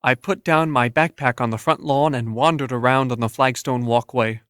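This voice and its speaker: A man's voice: medium pitch, steady tone, moderate pace, fairly bright tone, clear, resonant tone, no echo. Male narrator for literary first-person audiobook fiction, recorded dry and close.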